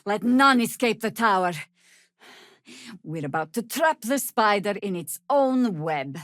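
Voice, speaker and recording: A woman playing a seasoned, wry, world-weary warrior druid in a video game, speaking in a dry, sardonic, measured way. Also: mid-range voice, lively intonation, fairly bright tone, no room sound. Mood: grave, forceful. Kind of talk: dramatic video game character dialogue.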